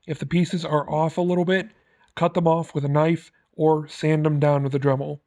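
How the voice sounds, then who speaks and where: Male tutorial host, fairly high voice, very even intonation, plain scripted step-by-step instruction. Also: moderate pace. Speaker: host of prop-making tutorial. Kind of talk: scripted how-to narration.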